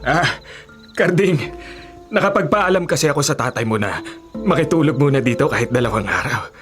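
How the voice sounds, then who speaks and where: Actor as middle-aged uncle, medium pitch, measured, placating, a bit touchy, Filipino radio drama, faint music behind. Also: male voice, expressive, lightly acted. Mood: casual, explaining.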